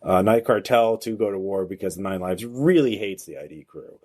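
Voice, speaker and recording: A man hosting a tabletop game as game master, narrating off the cuff in a halting, hesitant way. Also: low voice, animated, darkish tone.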